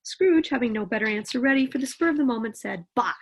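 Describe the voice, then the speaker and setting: A woman reading a classic story aloud in an expressive narrating style. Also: a little high, quick pace, breathy edge.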